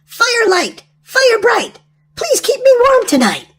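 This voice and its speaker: A woman's voice: very high-pitched, huge pitch swings, slow pace, a bit bright, voice pushed up, exaggerated voice. A woman voicing a skinny, clumsy, reclusive old witch from a storybook, with a chanting manner. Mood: commanding, incanting. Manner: chanting rhymed spells.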